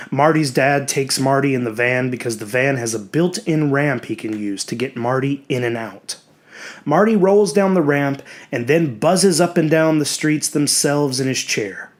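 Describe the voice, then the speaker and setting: A man reading a horror story as a dramatic audiobook narrator, in a measured, expressive way with deliberate pauses. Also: medium pitch. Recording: music bed under the voice. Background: background music.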